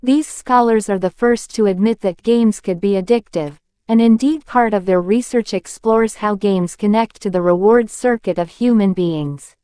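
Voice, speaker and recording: Mellow, smooth woman reading aloud. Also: mid-range voice, normal speed, pauses often, no room sound. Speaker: exam practice reader. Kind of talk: scripted read-aloud narration of practice passages.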